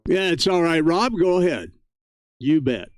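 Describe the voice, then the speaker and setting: An American man hosting a ham radio net in a casual, halting, effusively thankful way. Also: a little high, sing-song, dry recording.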